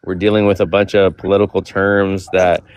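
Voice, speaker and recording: A man's voice: sits low, moderate pace, dry recording. Man moderating a live panel. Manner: steady, directs speakers, asks follow-ups. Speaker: panel host.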